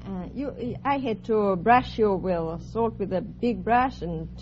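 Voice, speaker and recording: A woman from Europe with a European accent, speaking haltingly as a contestant on a vintage radio quiz show. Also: mid-range voice. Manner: halting, simple hesitant phrasing. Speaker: quiz show contestant, steam bath operator. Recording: muffled, noisy vintage radio with audience.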